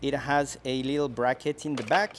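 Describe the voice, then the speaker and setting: A man's voice: medium pitch, fairly even intonation, normal speed, slight background noise. A man presenting a product demonstration in a conversational, explanatory way, going through things step by step.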